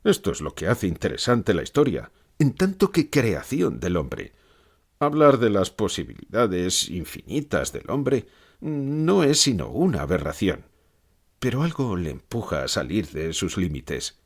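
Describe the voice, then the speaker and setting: A man's voice: low-pitched, huge pitch swings, measured pace, heavily acted. A man playing a gloomy old philosopher who gives grave, pessimistic answers in a scripted interview.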